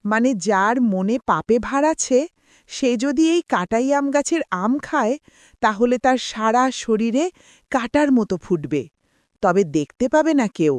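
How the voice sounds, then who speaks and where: A woman's voice: a little high, expressive, measured pace, dry recording. A woman voicing a wise, motherly, protective magical spirit in a cartoon, explaining things patiently. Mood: wise, reassuring. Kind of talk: cartoon voice acting.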